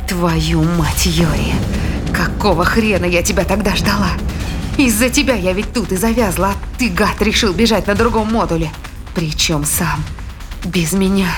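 A woman's voice: lowish voice, moderate pace, close to an everyday voice. An actress playing an angry, desperate spacewoman under attack in an audio drama, talking to herself in coarse, agitated speech. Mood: angry, betrayed. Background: dramatic music.

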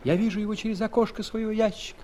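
Earnest male adventurer played by an actor, fairly high voice, full of wonder, theatrical storybook radio play. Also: very fast, lightly acted. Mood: excited longing. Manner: expressive, exclamatory, reflective. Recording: 1970s studio audio play, background noise.

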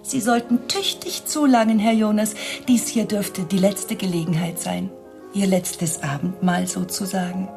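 A woman's voice: medium pitch, fairly even intonation, measured pace, vocal fry, music in the background. A woman playing a cool, menacing henchwoman in a crime radio play, speaking with sardonic politeness and command. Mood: mock-polite menace. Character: cool menacing female henchwoman posing as attendant.

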